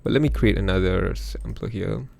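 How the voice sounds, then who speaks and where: Low gravelly guy losing his voice, chatty music software walkthrough. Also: normal speed, slight background noise. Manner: chatty, thinks aloud with fillers. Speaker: music production youtuber. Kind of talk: casual screen-recorded software walkthrough. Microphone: voice-over for screen recording.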